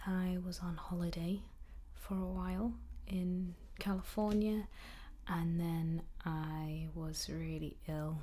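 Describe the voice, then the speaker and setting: A young woman whispering in a soft-spoken ASMR chat, slightly croaky while getting over an illness, with frequent ums. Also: fairly low pitch, level tone, takes it slowly. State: recovering from illness, at times croaky. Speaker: ASMR creator.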